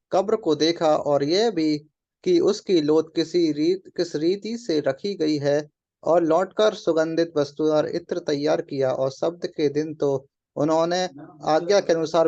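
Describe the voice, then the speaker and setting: Man, scripture reading, mellow, quick and steady, lo-fi. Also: a little high. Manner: quick, steady reading with small self-corrections. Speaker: scripture reader. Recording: muffled lo-fi sound.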